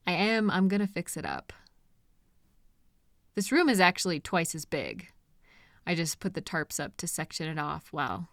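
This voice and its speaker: A woman playing an obsessive, deluded kidnapper in love, speaking gently and coaxingly to the listener in an intimate audio roleplay. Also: mid-range voice, dry recording, clean studio sound. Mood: chatty, amused. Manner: gentle, coaxing, chatty, unnervingly sweet. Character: obsessive, possessive female kidnapper, cheerfully deluded lover.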